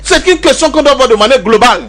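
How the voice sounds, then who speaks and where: A man's voice: very high-pitched, lively intonation, fast talker, fairly bright tone. A man from Cameroon with a Cameroonian accent giving political commentary as a debate panelist, emphatic, repeating key phrases and asking rhetorical questions. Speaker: panelist in a pan-African debate.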